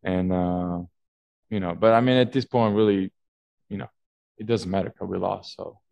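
A young man answers press questions after a game in a low voice, stumbling through restarts and fillers. The sound is dry and close on a table mic. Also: steady tone, vocal fry, a little mellow, no echo. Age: young adult. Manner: halting, many fillers and restarts. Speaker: basketball player at postgame press conference. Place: team media room, indoors. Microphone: table mic on stand.

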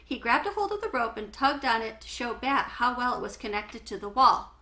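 A middle-aged woman reading a children's book aloud with animated, clearly phrased storytelling. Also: on the high side, fairly quick. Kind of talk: expressive children's book read-aloud narration. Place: small home living room, indoors.

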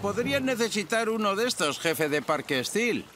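An actor playing a proud, touchy middle-aged bus driver in a children's cartoon dub, easily flustered. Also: male voice, sits high, sing-song, normal speed, background noise. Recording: loud music behind.

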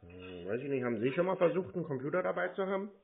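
Deadpan man on a tinny phone line. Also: on the high side, very fast, vocal fry. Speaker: scam baiter taking scam calls. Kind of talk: prank phone conversation.

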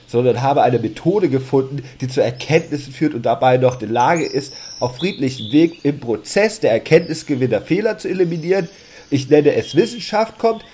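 A sulky, exasperated first man from a comic radio play, acted by a man. His voice is mellow and rough-edged, of middling pitch. He grumbles and doubts everything. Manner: whiny, exasperated. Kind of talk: comedic audio drama dialogue.

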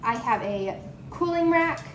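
A woman's voice: fairly high pitch, expressive, measured pace. A young woman in her home kitchen, presenting a cook-along baking tutorial and reading out measurements step by step. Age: young adult. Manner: reads measurements off steadily, step by step. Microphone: camera in front at waist distance. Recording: echoey kitchen with background noise.

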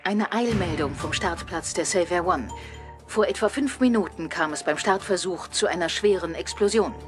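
A woman's voice: lowish voice, normal speed, over music, close to an everyday voice. A woman playing a serious news anchor reading breaking news in a radio drama. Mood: serious, urgent.

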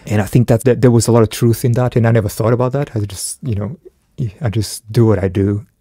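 Reflective low-voiced Portuguese-raised man. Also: steady tone, quick pace, mellow, no room sound, studio quality. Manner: reflective, hesitant restarts, occasional laughs. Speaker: filmmaker. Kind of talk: conversational podcast chat.

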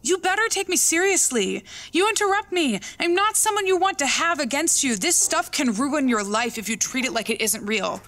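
Woman playing a blunt suspicious young woman, slightly creaky. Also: sits high, sing-song, normal speed, hardly any pauses, fairly bright tone, heavily acted. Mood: angry, menacing. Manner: blunt, pushy. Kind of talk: audio drama dialogue, naturalistic.

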